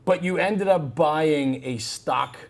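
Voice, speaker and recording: Man interviewer in relaxed conversation. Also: a little high, takes it slowly, gravelly. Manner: prompts with "right?" and recaps. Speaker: interviewer host. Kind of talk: conversational interview about firefighting.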